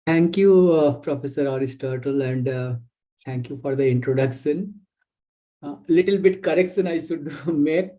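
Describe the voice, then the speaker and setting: A male academic with an Indian English accent delivers a webinar lecture. His voice sits a little high for a man yet has a dark, relaxed tone. He phrases things deliberately and haltingly, as a visiting professor walking through slides.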